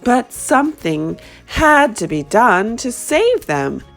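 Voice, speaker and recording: A woman reads a children's picture book aloud, animated and expressive. Her voice rides high and swings widely through each sentence. She reads slowly, with faint music behind her. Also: creaky. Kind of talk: storybook read-aloud.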